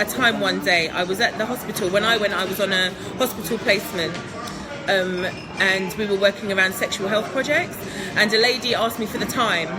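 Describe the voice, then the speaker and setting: Upbeat chatty woman, music behind. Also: mid-range voice. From England. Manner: chatty, upbeat, laughs often, many ums. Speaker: guest entrepreneur. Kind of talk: interview answers.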